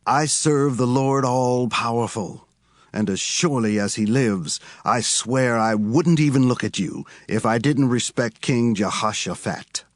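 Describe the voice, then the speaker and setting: A man's voice: mid-range voice, unhurried, dry recording, lightly acted. A man playing a stern, scornful prophet who declaims solemnly in an audio Bible drama.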